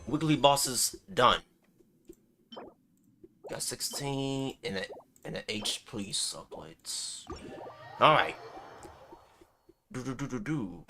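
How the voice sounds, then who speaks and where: A man's voice: medium pitch, expressive, slow, long pauses, pushed and bright, a little hiss or hum. A man livestreaming a game with casual commentary, muttering short exclamations to himself and chatting to viewers. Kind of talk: casual live gameplay commentary.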